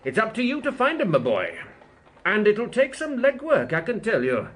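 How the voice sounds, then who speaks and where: Husky, animated elderly lawyer. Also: male voice, high-pitched, moderate pace, full character voice. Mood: brisk, hearty. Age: older. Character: brisk, hearty elderly male London lawyer uncle. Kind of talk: period audio drama acting.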